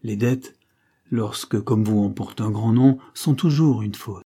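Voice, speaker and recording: A man's voice: on the low side, a little slow, slightly rough. A man doing a stern old marquis, scornful. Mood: stern accusing. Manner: forceful, indignant reproach. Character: stern older male aristocrat, accusing and scornful.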